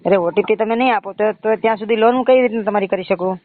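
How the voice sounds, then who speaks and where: Fast, high-voiced man with Gujarati accent. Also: dry recording. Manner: insistent, pushy, repetitive. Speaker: phone caller claiming to be a bank loan agent. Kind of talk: phone sales conversation. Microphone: phone line. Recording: muffled narrow-band phone call recording.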